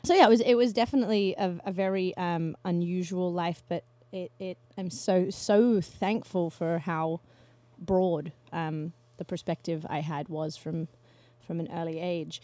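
A young woman in casual conversation, chatty and quick to laugh, often restarting her sentences. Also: on the low side, steady tone, dark tone, no room sound.

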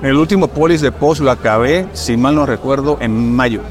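A middle-aged film director from Puerto Rico with a mixed Caribbean and Mexican Spanish accent, chatting casually and telling stories with lots of fillers. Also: male voice, medium pitch, normal speed, over music. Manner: chatty, storytelling, fillers like "este". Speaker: film director, podcast guest. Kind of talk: casual conversation.

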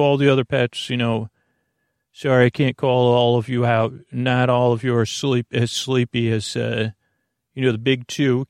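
A man with a creaky voice hosting a bedtime podcast, meandering and halting through a lulling, soothing monologue full of tangents. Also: medium pitch, dry recording, clean studio sound. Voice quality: creaky, gravelly.

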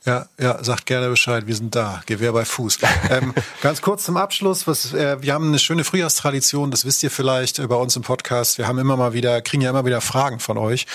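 A man chats quickly as a podcast co-host, rambling and self-deprecating. His pitch is ordinary for a man, and the recording is dry and casual in feel. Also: fairly even intonation, a bit bright, dry recording. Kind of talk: casual unscripted podcast chat.

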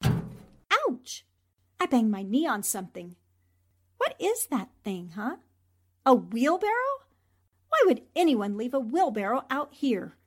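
Casting: plucky young newspaperwoman sleuth, played by a woman, higher-pitched, flippant and arch, for 1940s-style radio drama. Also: very animated, slow, long pauses, full character voice. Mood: muttering, wry. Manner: talks to herself, flippant, arch.

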